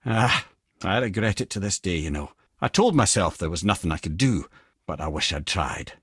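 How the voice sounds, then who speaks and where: A man's voice: deep voice, lively intonation, normal speed, a bit bright, breathy edge, no room sound, full character voice. An actor voicing a witty, worldly older male advisor in a video game, with a Scottish accent and a wry, conversational way with old-fashioned phrases. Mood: storytelling, reflective. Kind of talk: video game character dialogue.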